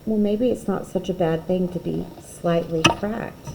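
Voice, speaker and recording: A woman's voice: on the low side, steady tone, a little slow, creaky, very noisy. A woman voicing a small, hopeful male egg, earnest and full of wonder, in a children's picture book.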